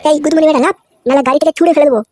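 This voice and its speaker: A woman's voice: sits high, very fast, darkish tone, lightly acted. A woman voicing a cocky, rude young man in a crude slapstick cartoon, brash and full of insults. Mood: bossy, angry. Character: cocky rude new car owner Lalu, boastful young man.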